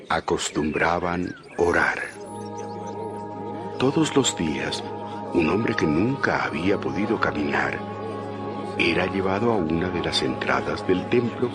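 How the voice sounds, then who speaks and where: A man narrating a dramatized Bible story in an expressive storytelling manner. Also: sits low, slow, pauses often, over loud music.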